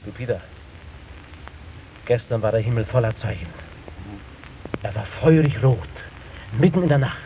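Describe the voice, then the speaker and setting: A man's voice: medium pitch, moderate pace, pauses often, dark tone, natural voice. A man playing an anxious, sober worker and family father, earnest and worried, in an old German radio drama. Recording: noisy archival radio play recording.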